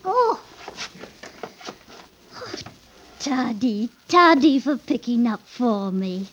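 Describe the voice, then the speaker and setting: Slow, yearning servant girl. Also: on the high side, sing-song, fairly bright tone, full character voice. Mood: flustered. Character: poor, yearning young indentured servant girl, coaxing. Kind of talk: classic radio drama acting. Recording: muffled, noisy old radio broadcast.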